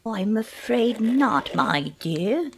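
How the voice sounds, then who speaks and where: Woman voicing folksy old lady, fussing and insistent, very slow unhurried speech, faint background noise, audio play. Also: fairly high pitch, big pitch swings, vocal fry, heavily acted. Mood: gentle, curious. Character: kindly, insistent old woman living alone by a river. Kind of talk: amateur audio play acting.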